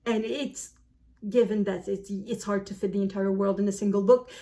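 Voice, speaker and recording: A woman book vlogger chatting casually to camera in an animated, self-deprecating way. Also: medium pitch, normal speed.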